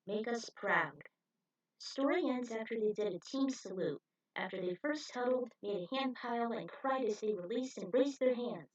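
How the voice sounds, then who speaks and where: A woman's voice: mid-range voice, a little mellow, highs cut off. Female amateur author-narrator, reading own fan fiction aloud.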